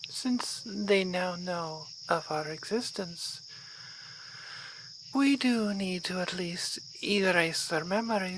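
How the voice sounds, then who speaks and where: A woman's voice: mid-range voice, lightly acted. A woman playing an aristocratic vampire baroness in an ASMR roleplay, speaking in a formal, refined, seductive and composed way. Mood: calm, calculating. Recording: clear night insect noise behind voice.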